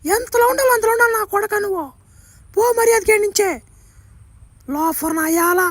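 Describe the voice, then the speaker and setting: A very high-pitched, scolding cartoon woman. She is whiny and scornful, hurling insults in a comic parody, recorded very dry and close. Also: brisk, dry recording. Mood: scornful, whining. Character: angry, scolding woman customer, shrill and contemptuous. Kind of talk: comic cartoon dubbing in Telugu.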